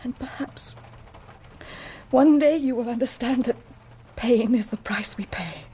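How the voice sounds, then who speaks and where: An actress playing an anguished, remorseful wife and mother in a period radio drama, speaking with halting emotion. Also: a little high, mellow, breathy edge. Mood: anguished confession.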